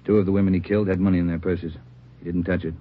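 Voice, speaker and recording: An actor playing an authoritative American police chief in an old radio drama, talking in clipped phrases on a muffled recording. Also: male voice, deep voice, level tone, very fast. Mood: grim, authoritative. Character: gruff, commanding male police chief. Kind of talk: 1950s radio drama acting.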